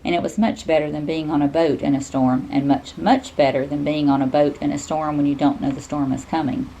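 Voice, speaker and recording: Low-voiced woman reading a storybook, gravelly edge. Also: fairly even intonation, a little fast, mellow, a little hiss or hum, rough recording. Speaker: teacher reading a children's book aloud. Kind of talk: expressive storybook read-aloud.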